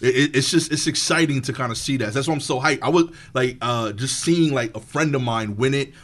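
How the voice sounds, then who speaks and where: A male stand-up comedian in casual chat, talking in an animated, rambling way full of filler "likes". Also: medium pitch, brisk.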